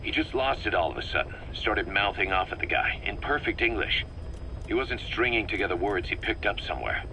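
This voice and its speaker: Give this to a bitter, suspicious military commander from a dramatic game. His voice is fairly low with a sharp, forceful edge, and he talks tersely and accusingly, over a slightly noisy tape recording. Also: animated, a little fast. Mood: matter-of-fact, frustrated. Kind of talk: dramatic video game voice acting.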